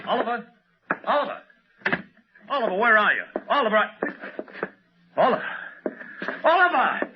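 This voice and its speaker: Frantic American publicity man, animated. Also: extremely high pitch, moderate pace, loud music behind the voice. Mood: alarmed. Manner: urgent, slangy. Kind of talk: 1950s radio drama acting. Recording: muffled old radio recording, background voices.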